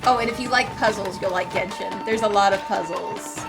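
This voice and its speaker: A woman's voice: medium pitch, a little fast. A woman giving casual, playful live commentary as she streams a game. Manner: chatty and playful. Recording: game music loud behind voice. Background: loud game music and combat.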